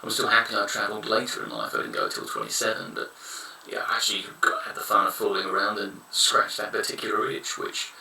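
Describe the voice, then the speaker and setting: Gravelly, blunt man in an echoey room. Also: on the low side, fast talker, hardly any pauses, bright, forceful tone, a little hiss or hum. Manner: frank, rambling, blunt opinions. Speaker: vlogger giving advice. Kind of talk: casual advice monologue. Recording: echoey room, somewhat far from mic.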